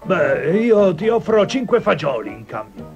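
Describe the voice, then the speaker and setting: Creaky, sly little peddler. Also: male voice, high voice, sing-song, measured pace, heavily acted. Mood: sly, coaxing. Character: strange little old peddler, sly and persuasive. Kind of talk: Italian cartoon dub. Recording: dull lo-fi cartoon soundtrack, music.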